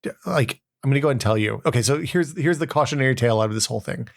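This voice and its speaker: A male American podcast host with a gravelly, fry-edged voice, recorded close and dry. He chats casually, with a wry, self-deprecating streak. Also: medium pitch, very fast, no room sound. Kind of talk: casual chat.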